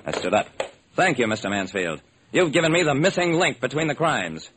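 An actor playing a brisk, determined hard-boiled male private detective, narrating and acting in a classic radio crime drama. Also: medium pitch, big pitch swings, heavy background noise, natural voice. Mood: intent, clipped. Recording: old, slightly rough radio recording.

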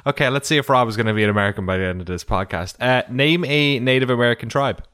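A man's voice: medium pitch, quick pace, a bit bright. A man, co-hosting a podcast in casual chat, joking and laughing often. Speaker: podcast co-host.

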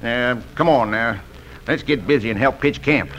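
A man's voice: medium pitch, moderate pace, over loud music, close to an everyday voice. A man playing a trusting frontier sheriff in command, with a Western American accent, in an old radio western. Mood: commanding, unworried. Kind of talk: 1940s-50s radio western acting. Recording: noisy old radio recording.

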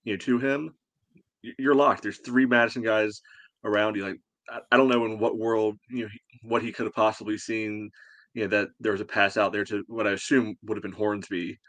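A man co-hosts a casual sports recap in a fairly low but forceful, bright voice. The sound is dry and close, and his phrasing is halting and full of verbal padding. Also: fairly even intonation, no echo. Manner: halting, repeats you know. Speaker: soccer fan podcast co-host.

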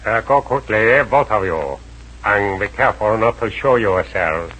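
Low, sharp-toned jungle comrade. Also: male voice, lively intonation, a little slow. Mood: composed. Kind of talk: 1940s radio acting. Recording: noisy old radio recording.

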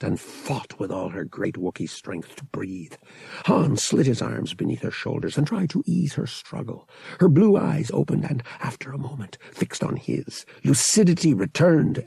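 Male audiobook narrator: low, raspy, expressive and suspenseful, with big swings in intonation. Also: dry recording. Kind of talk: dramatic scripted storytelling.

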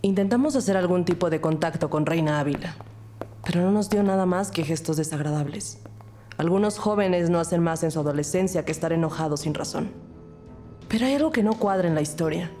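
A woman's voice: lowish voice, a little fast, warm tone, faint music behind, natural voice. An actress playing a composed police detective who narrates her case in a scripted crime audio drama. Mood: composed, serious.

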